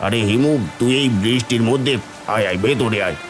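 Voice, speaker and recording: A man plays a proud, tight-fisted schoolteacher in a cartoon village drama. The voice sits at an ordinary male pitch, delivered as dramatic dialogue. Soft music runs behind it. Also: brisk, lightly acted. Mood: haughty, dismissive. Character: proud, stingy schoolteacher friend, later ruined. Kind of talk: animated Bengali moral story dialogue.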